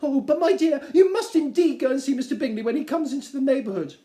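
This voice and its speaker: A man voicing a fussy, excitable middle-aged wife, gushing and plaintive, in a theatrical reading of a classic novel. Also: very high voice, fast, pitched above the performer's own voice. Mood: coaxing. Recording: roomy, echoing indoor sound.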